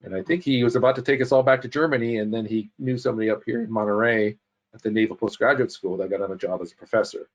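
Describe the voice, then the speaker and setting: A man in his fifties speaks in a fairly low voice, rambling and correcting himself as he tells his story. The audio is dull and muffled, like a video call. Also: fairly even intonation, quick pace. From United States. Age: 50s. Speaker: podcast guest. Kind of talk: casual interview chat.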